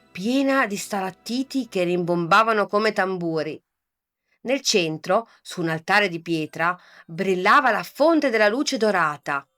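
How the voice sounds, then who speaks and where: A woman reading a children's bedtime fairy tale in a soft, calm, lulling voice. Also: fairly low pitch. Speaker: storyteller. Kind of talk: scripted bedtime story narration.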